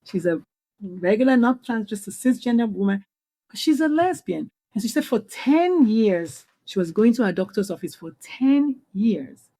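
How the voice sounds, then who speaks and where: A middle-aged woman with a Nigerian accent talks through her experiences as a guest on a podcast. Her voice is mellow and warm, and her delivery is earnest and animated, with quick restarts when she collects her thoughts. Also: on the high side. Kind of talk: conversational interview.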